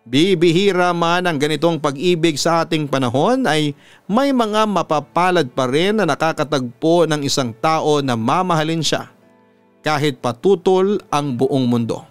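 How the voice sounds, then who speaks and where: A Filipino man narrating a sentimental letter as a story host, in an earnest, measured announcer's delivery. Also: a little high, clean tone, no echo. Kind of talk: dramatic reading of a listener's letter, sentimental narration. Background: music under the voice.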